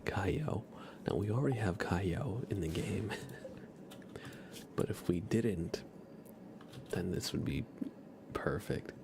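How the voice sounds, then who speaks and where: A man with a low, creaky voice talks slowly and softly as he hosts a cozy gaming stream. He often slips into a whisper, in an unhurried ASMR style, with noise behind him. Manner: soft-spoken, often dropping into a whisper. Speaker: livestream host.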